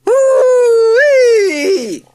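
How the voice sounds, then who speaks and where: A woman's voice: extremely high pitch, sing-song, extremely slow, dark tone, voice pushed up, heavily acted. A woman reading a story, voicing an exuberant young man who whoops and cheers. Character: cheerful skinny young man, hang-glider champion.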